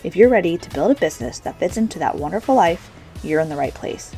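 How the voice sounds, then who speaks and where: A woman's voice: on the low side, animated, quick pace, dark tone. A woman podcast host reading an upbeat, cheerful show intro and then asking her guest questions. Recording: faint music behind.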